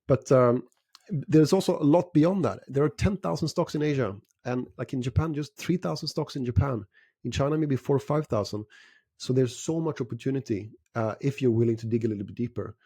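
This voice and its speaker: A middle-aged man from Sweden, answering interview questions in a measured way with frequent fillers and restarts. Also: medium pitch, mellow, breathy edge, dry recording, clean studio sound. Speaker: guest investor.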